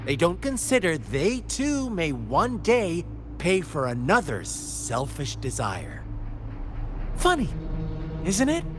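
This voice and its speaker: An actor playing a mocking male villain who tells a dark parable in a game, theatrical and taunting. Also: huge pitch swings, heavily acted. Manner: theatrical, slow and taunting, wild pitch swings. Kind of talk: game cutscene voice acting. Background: game score music.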